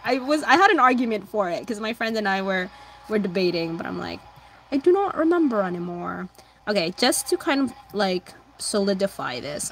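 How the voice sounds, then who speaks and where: A young woman chatting casually with her viewers while drawing, answering chat and humming along, her voice slightly hoarse. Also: medium pitch, fairly bright tone, slight background noise, rough recording. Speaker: livestreamer drawing anime fan art.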